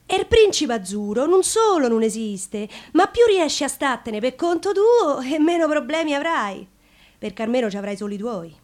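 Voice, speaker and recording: A woman's voice: sits high, big pitch swings, normal speed, a little mellow. An actress playing a wry, defiant Roman woman in a colloquial, ironic theatre monologue, with a Roman accent. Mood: wry, confiding.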